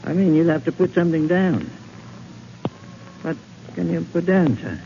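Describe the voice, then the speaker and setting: An actor playing a nervous, courteous house seller who speaks hesitantly in a 1940s radio drama. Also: male voice, a little high, moderate pace, gravelly, dark tone. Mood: nervous, wary. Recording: muffled, hissy 1940s radio recording.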